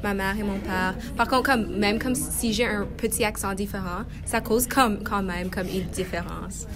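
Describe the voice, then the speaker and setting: A woman's voice: medium pitch, fairly even intonation, moderate pace, over music. Tentative teen girl, slight Spanish accent. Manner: tentative, with "um" and "comme". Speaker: student in a class discussion. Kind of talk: classroom round-table discussion.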